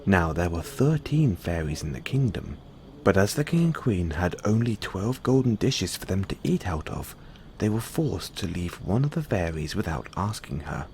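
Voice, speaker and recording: A man reading a fairy tale aloud as a bedtime storyteller, in an expressive scripted narration. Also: sits low, moderate pace.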